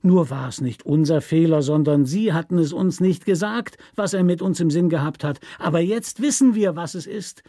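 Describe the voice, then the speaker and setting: A man's voice: on the high side, moderate pace, few pauses, warm tone, no room sound, clean studio sound, voice pushed up, lightly acted. A man voicing a bitter, defiant convict in a German radio story, with plain, repetitive, insistent phrasing. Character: bitter, defiant male convict pleading his innocence.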